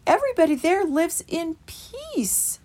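A woman's voice: very high-pitched, very animated, a little slow, darkish tone, voice pushed up, exaggerated voice. A woman voicing an earnest young male mouse who carries a heavy burden.

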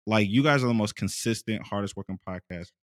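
A man in his late twenties, a podcast guest, bantering loudly and laughing with profane jokes. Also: lowish voice, brisk. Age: 20s. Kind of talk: casual podcast chat.

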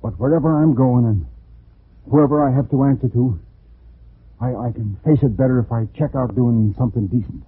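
Husky, desperate condemned killer. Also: male voice, medium pitch. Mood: urgent, insistent. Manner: halting, urgent, repeats himself. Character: desperate condemned male murderer, pleading, proud. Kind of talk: 1940s radio drama acting. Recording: muffled, tinny 1940s radio broadcast.

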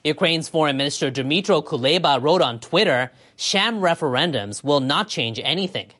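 Measured radio news reader. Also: mid-range voice, animated, a bit bright. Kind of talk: scripted news bulletin narration.

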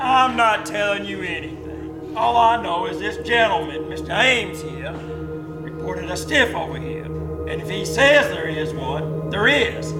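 A man's voice: high voice, lively intonation, a little slow, music in the background. A man playing a brusque, impatient, streetwise police officer in a live old-time radio suspense play. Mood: gruff, dismissive. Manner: blunt, streetwise, commanding.

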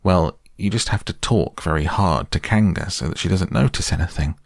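A man plays a scheming storybook rabbit who likes to give orders. The voice is gravelly and fairly low, with a bossy, brisk manner. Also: no room sound, studio quality. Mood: brisk, impatient. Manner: brisk, instructing.

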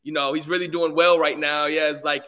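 A man's voice: a little high, flat delivery, brisk, sharp tone, clean tone. A young man from England, a guest on a podcast, talking politely and easygoingly with many fillers. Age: young adult. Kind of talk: casual interview.

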